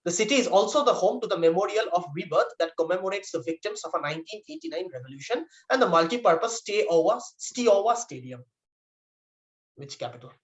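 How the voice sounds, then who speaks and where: A man's voice: high-pitched, animated, fairly quick, rough recording. A man acting as quiz master, reading out trivia questions and giving verdicts on answers.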